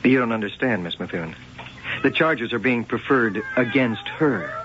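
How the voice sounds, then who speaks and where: A mid-pitched, animated police lieutenant played by a man speaks directly and gets down to business. The old radio drama recording is muffled and noisy. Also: moderate pace, lightly acted. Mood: stern, businesslike. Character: matter-of-fact male police lieutenant. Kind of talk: 1980 radio drama acting.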